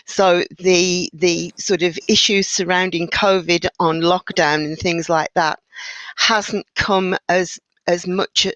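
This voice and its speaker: A woman's voice: lowish voice, steady tone, slow, fairly bright tone, no echo, dull audio. A woman guest on a panel, speaking hesitantly and reflectively about coping. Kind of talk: panel discussion.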